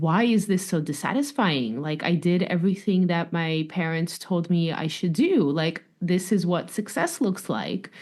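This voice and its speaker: Russian-New York-accented woman, low voice. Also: a little mellow. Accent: mix of Russian, New York, French and London. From Russia, raised in New York. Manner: reflective, with fillers and light laughs. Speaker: author guest. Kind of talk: podcast interview.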